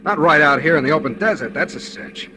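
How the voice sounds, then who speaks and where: An actor playing a tough, commanding gangster at the wheel, gruff and clipped in 1940s radio style, on a very noisy old recording. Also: male voice, on the high side, fairly quick, lightly acted. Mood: grim, evasive. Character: tough, controlling gangster getaway driver.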